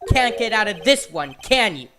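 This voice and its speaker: A man's voice: sits high, sing-song, moderate pace, sharp tone, exaggerated voice. A young man playing an earnest, easily flustered defense lawyer in an emphatic video game fandub. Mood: confident, pressing. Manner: emphatic, theatrical.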